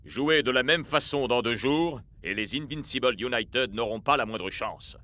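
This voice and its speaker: An actor voicing a formal, commanding team coach in a French cartoon dub. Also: male voice, mid-range voice, moderate pace, bright, forceful tone, natural voice. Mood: firm. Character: organized adult male team coach, firm. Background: background music.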